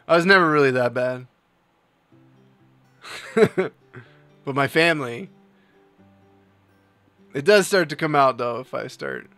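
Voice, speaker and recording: A man's voice: medium pitch, a bit bright, no room sound. A young man from New Jersey hosting a live stream, chatting casually with his chat, rambling and laughing often. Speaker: livestream host.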